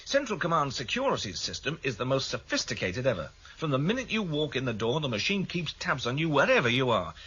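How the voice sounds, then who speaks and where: An actor playing a breezy, scoffing, confident colleague chatting emphatically in a radio drama. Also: male voice, medium pitch, very animated, a little fast, few pauses, sharp tone, breathy edge, dull audio, full character voice. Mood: confident, boastful.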